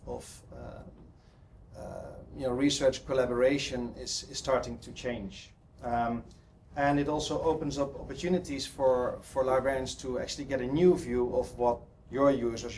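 A man's voice: mid-range voice, a little mellow, a little hiss or hum. A man from the Netherlands with a Dutch accent, giving a hesitant conference presentation full of ums and restarts. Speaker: conference speaker. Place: conference hall.

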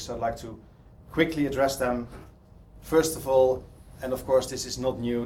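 A man's voice: medium pitch, a little mellow, a little hiss or hum. A man from the Netherlands with a Dutch accent, giving a hesitant conference presentation full of ums and restarts. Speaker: conference speaker. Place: conference hall.

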